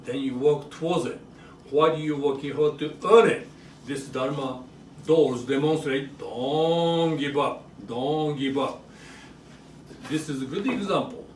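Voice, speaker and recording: A man with a Japanese accent giving an educational demonstration in short, simple phrases, often checking in with a quick question. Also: on the high side, a little mellow. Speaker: author and presenter. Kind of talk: educational show-and-tell for children and families. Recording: roomy echo, distant mic, faint noise.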